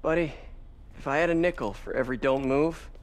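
An actor playing a young American superhero in a video game, quick-witted and earnest, often muttering wryly. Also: male voice, mid-range voice, close to an everyday voice. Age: young adult.

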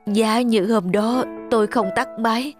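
Slow, sorrowful elderly widower with music behind. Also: male voice, high voice, fairly even intonation. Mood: regretful, grieving. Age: older. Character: regretful elderly widower in a cafe. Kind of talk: animated moral drama dubbing.

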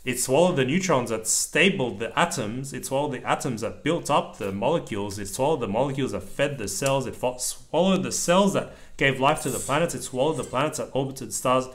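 A man reading a children's picture book aloud to a small child, pausing to explain things in simple words. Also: mid-range voice, lively intonation. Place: indoors at a table over the open book.

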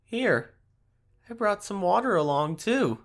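Young man acting as a playful male fox spirit, unhurried, creaky voice, gentle, affectionate, teasing, boyfriend audio roleplay. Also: high-pitched, animated, heavily acted. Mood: caring. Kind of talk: boyfriend ASMR roleplay, addressing the listener.

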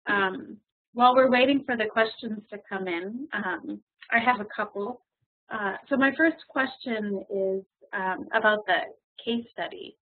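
A woman hosts the question part of a webinar, easygoing and filler-heavy. Her voice is a little husky, and it comes through thin and tinny like a phone line. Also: medium pitch, measured pace. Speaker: webinar moderator. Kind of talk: hosting a webinar Q&A.

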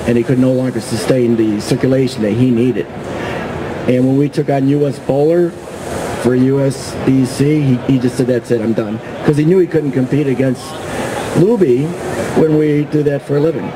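A man's voice: medium pitch, fairly even intonation, moderate pace. A middle-aged man, a magazine publisher, chatting as a guest at a trade show booth, rambling with restarts into a stand mic. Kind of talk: casual trade-show interview. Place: trade show booth, large indoor hall. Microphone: table stand mic. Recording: noisy hall with hum.

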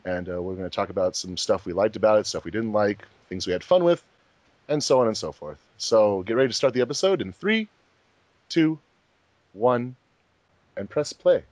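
A man's voice: lowish voice, sing-song, moderate pace, a little mellow, no echo. A man hosting a casual group commentary track, talking informally as the director of a fan project. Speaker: host, fandub director.

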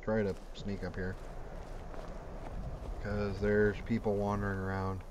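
A man's voice: fairly low pitch, completely flat delivery, a little slow, darkish tone, a little hiss or hum. A man giving casual, blunt and sweary commentary while he plays and reviews a video game. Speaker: gamer reviewing a game mod.

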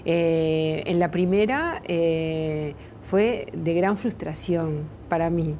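A middle-aged woman with a Uruguayan accent, a makeup artist answering questions in a TV studio interview, often pausing with fillers and restarts. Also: low-pitched, warm tone, slight background noise. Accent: Uruguayan Spanish. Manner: hesitant, many fillers and restarts. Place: TV studio set, seated in armchair.

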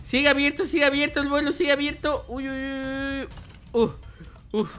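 A young man hosts a gaming roleplay in a high, youthful voice. He chats playfully and often exclaims, with music playing behind him. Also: little pitch movement, moderate pace, sharp tone. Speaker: gaming video host. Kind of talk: excited gameplay roleplay chat. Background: background music.

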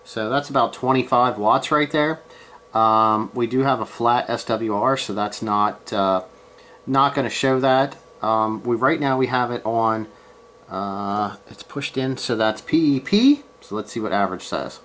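A man giving a casual, hands-on gear demonstration, halting and unscripted as he thinks aloud from behind the camera in a room at home. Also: medium pitch, a bit bright, slight background noise. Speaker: amateur radio hobbyist, presenter. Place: small room at home, indoors.

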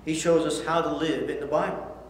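A man's voice: a little high, fairly even intonation, fairly quick. A middle-aged man teaching a Bible lesson to children, reading scripture aloud and asking questions in an earnest way. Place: church studio set with backdrop, indoors. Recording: echoey hall, faint hiss.

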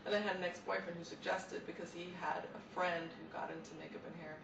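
A woman in her thirties from Jamaica, a makeup and hair artist, introducing herself to the camera in a relaxed, reflective way. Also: lowish voice, fast, creaky, muffled recording. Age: 30s. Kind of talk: casual self-introduction to camera. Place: indoor room, seated on a sofa. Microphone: camera at a distance. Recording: roomy distant sound with background noise.

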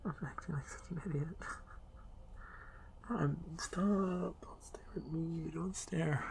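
A man playing a shy, nervous young male drider in an ASMR fantasy roleplay, hesitant, stammering and soft-spoken, apologising and often slipping toward a whisper. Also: fairly high pitch, steady tone, takes it slowly, slight background noise, low-quality recording. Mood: self-conscious, anxious. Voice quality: gravelly, creaky.

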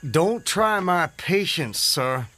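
An actor playing a proud, honor-bound Southern gentleman in a radio drama, with an American Southern accent and formal, florid speech. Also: sits high, big pitch swings, slow, full character voice. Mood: indignant, impatient. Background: music underscore.

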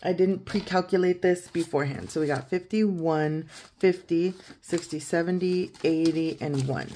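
Croaky-voiced woman in her thirties counting cash. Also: fairly low pitch, slight background noise. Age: 30s. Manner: chatty, counts out loud, laughs easily. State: croaky morning voice. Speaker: savings challenge vlogger. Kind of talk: casual chat while counting cash. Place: home desk, indoors.

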